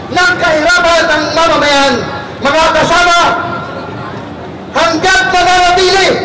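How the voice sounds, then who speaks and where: A man's voice: very high voice, heavy background noise. A man with a Filipino accent giving a fiery, declamatory political speech as a protest rally speaker. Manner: declamatory, rallying the crowd with questions. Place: outdoor street rally. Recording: echoey outdoor loudspeaker, distant, loud crowd.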